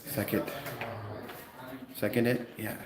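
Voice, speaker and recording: A chatty man with a slightly low voice and a faint Canadian accent talks casually to his livestream viewers. He answers their comments aloud. The sound is roomy and echoey, with people murmuring behind him. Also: flat delivery, slow, a crowd in the background. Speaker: citizen auditor livestreamer.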